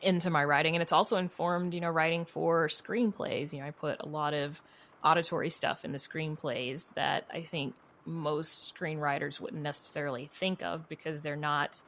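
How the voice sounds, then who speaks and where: Low-voiced woman chatting candidly. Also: fairly even intonation, moderate pace, a bit bright, slight background noise. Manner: chatty, candid, informal, frequent "you know" and "like". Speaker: interview guest, writer and audio fiction producer. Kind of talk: casual conversational interview.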